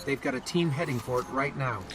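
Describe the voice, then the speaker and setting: An actor playing an alert scout companion in a video game, reporting briskly and inquisitively to his commander. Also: medium pitch, very animated, vocal fry. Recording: loud music under the voice. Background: loud game soundtrack.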